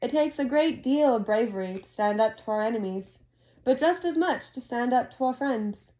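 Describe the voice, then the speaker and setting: A woman reading aloud as an old, wise male headmaster, speaking in a calm, measured, formal way as he announces to a hall. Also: very high-pitched. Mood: formal, kindly.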